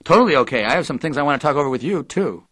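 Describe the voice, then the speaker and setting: An actor playing an earnest, literal-minded, bookish radio interviewer in an audio drama. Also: male voice, on the high side, animated, very fast, a bit bright, breathy edge. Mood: pedantic. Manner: pedantic, earnest.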